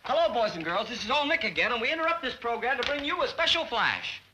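An actor playing a breezy late-night radio disc jockey with smooth showman patter, in a 1940s film. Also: very high-pitched, big pitch swings, quick pace, noisy background. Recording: old film soundtrack with music behind.

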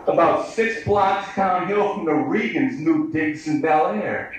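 A sardonic American man performs a poem at a live bar reading, declaiming it at an unhurried pace. His voice sits fairly high for a man, and it comes through very echoey, some way from the microphone. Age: 30s. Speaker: poet. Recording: echoey live bar recording, distant mic.

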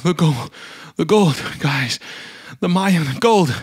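Tortured weak game captive, high raspy strained voice, slow and halting. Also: male voice, lively intonation, full character voice. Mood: weak, urgent. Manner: halting, broken phrases. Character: tortured, gravely injured captive man, weak and desperate. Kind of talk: video game voice acting.

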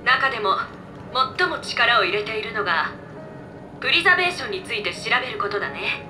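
A woman's voice: fairly high pitch, animated, brisk, over music, natural voice. A woman voicing an easygoing, knowledgeable floating robot in a video game, explaining things casually. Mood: matter-of-fact, breezy. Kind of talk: video game voice acting, exposition.

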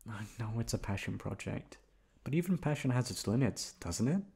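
A man with a mid-pitched voice performs an ASMR boyfriend roleplay. He sounds playful and coaxing, with lively swoops in pitch as he pleads and teases affectionately. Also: moderate pace, vocal fry, slightly rough. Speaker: voice actor performing an audio roleplay.

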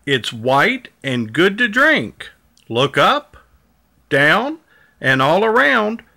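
Man voicing helpful male dinosaur, storybook, cheerful, sing-song, animated pitch. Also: very slow, gravelly, heavily acted. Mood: cheerful, encouraging. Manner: sing-song, encouraging, rhyming.

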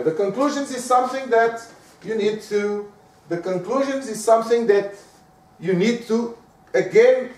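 High-voiced Portuguese man lecturing in an echoey room. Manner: lively, talks to the audience with frequent rhetorical questions. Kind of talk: lecture on giving presentations. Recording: echoey room, speaker far from the mic.

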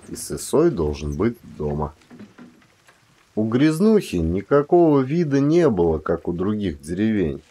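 A man reading literary prose as an actor, in expressive scripted narration. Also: fairly low pitch, breathy edge.

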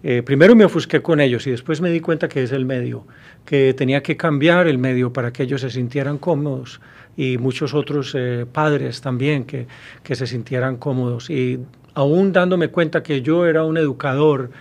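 A man in his fifties speaks with a Colombian Spanish accent as a professor being interviewed. He pauses often and restarts his sentences as he thinks. The sound is close and clean from a studio microphone. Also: medium pitch, creaky, darkish tone. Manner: thoughtful, many hesitations and restarts. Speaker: university professor guest. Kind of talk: interview answers. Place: small studio with blue backdrop. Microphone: studio mic on boom arm.

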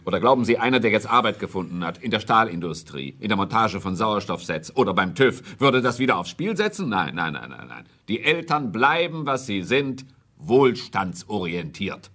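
An actor playing a confident, dismissive prime minister in a German radio drama, scornful and self-assured. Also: male voice, lowish voice, big pitch swings, moderate pace, bright, forceful tone, heavily acted.

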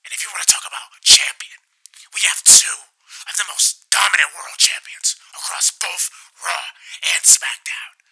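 Man putting on a raspy, whispery old wrestling boss. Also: high voice, unhurried, bright, forceful tone, exaggerated voice. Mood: dismissive, scornful. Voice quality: hoarse, raspy growl. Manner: blustering, dismissive. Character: gruff, combative older male wrestling company boss, scornful parody. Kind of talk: parody phone argument acting.

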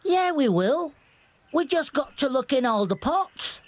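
A woman's voice: sits high, sing-song, unhurried, no room sound. A woman with a British accent playing an impatient, grumbly young schoolboy in a children's cartoon, blunt and childlike.

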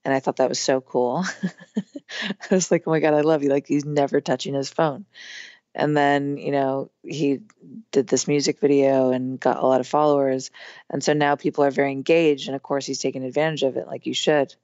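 A woman in her thirties with a hoarse, strained voice, chatting casually as a podcast guest with lots of fillers. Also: rumbling low, fairly even intonation, moderate pace, a little mellow, dry recording, lo-fi sound. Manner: chatty, rambling with many fillers. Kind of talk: casual conversation.

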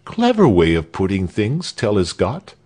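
A man's voice: fairly low pitch, huge pitch swings, unhurried, darkish tone, full character voice. A man voicing an admiring male villager who murmurs approval.

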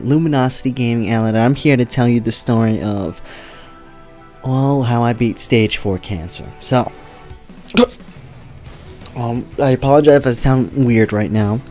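A man's voice: medium pitch, a little slow, pauses often, darkish tone, faint music behind. Young man talking loosely, voice worn. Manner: loose, rambling, swears freely. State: voice weakened from treatment. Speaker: streamer. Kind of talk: casual vlog talk to camera. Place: home streaming room, indoors.

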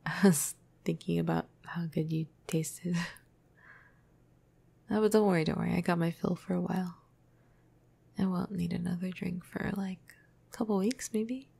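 A woman playing a flirty, cuddly vampire crush in a breathy, casual ASMR roleplay, half-whispering close to the microphone. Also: on the low side, steady tone, unhurried, pauses often, dark tone, heavily acted. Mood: dreamy, teasing. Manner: casual, playful, hesitant, breathy murmur.

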